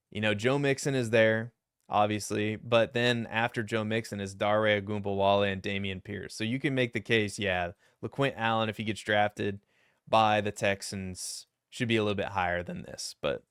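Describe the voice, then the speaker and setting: A young man with a low, deep voice that cracks upward now and then. He talks through draft picks in a casual, rambling way, full of self-deprecating asides. His voice sounds smooth and clear, recorded dry and close with no room echo. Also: fairly bright tone. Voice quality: deep voice that sometimes cracks high. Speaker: fantasy football YouTuber. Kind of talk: solo mock draft commentary.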